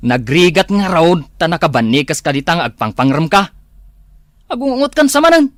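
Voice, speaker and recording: A man's voice: on the high side, very animated, normal speed, fairly bright tone, no echo, clean studio sound. A man playing an evasive, henpecked lazy husband in an Ilocano radio drama, stammering and pleading with an Ilocano accent. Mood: whiny, protesting.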